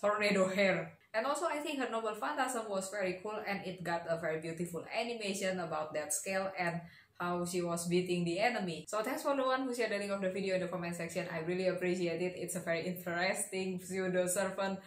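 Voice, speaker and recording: Young woman doing casual reaction commentary, mid pitch, kinda echoey room. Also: moderate pace, slight background noise. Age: young adult. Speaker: reaction video host. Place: small indoor room at a desk. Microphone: desk condenser mic on a boom arm.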